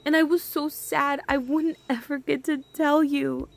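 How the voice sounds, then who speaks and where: High-voiced, emotional woman in love , acted. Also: little pitch movement, unhurried, gravelly. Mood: relieved, loving. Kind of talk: radio drama acting.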